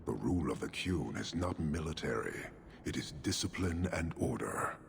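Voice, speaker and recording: An extremely low, creaky-voiced giant warrior leader, stern and contemptuous. He delivers measured, formal judgments like a video game overlord, over clearly noisy ambience. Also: animated, full character voice. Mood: stern, lecturing. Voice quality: gravelly. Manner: measured, formal, disdainful pronouncements. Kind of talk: video game cutscene acting.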